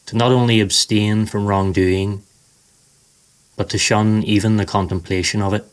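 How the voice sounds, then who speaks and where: Deep-voiced man guiding a meditation. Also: little pitch movement. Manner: slow, soothing, measured delivery. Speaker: meditation guide. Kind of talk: scripted guided meditation narration.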